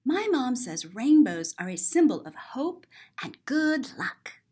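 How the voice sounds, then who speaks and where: A woman's voice: sits high, very animated, slow, a little mellow, slight background noise, heavily acted. A woman voicing a sensitive, big-hearted little girl who tells her own story in an earnest, rhyming storybook style. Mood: bright, proud.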